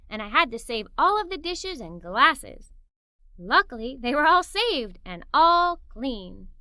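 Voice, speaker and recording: A woman reading a children's story aloud in the first person, with animated, expressive delivery. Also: high-pitched, no room sound. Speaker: storybook narrator.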